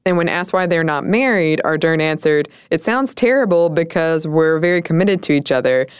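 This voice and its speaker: A woman's voice: low-pitched, animated, moderate pace, smooth, no echo, clean studio sound. A woman co-hosting a casual podcast, chatty and thoughtful, laughing often. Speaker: podcast co-host.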